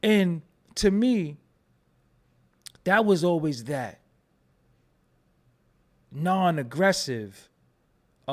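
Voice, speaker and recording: A man with a New York accent giving loose, profane commentary and often asking "you know what I'm saying". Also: on the high side. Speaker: commentary vlogger. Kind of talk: casual commentary.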